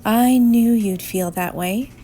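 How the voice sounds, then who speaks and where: A woman voicing a kindly but firm mother from a storybook, in a calm, matter-of-fact manner. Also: on the high side, slow, slight background noise, rough recording.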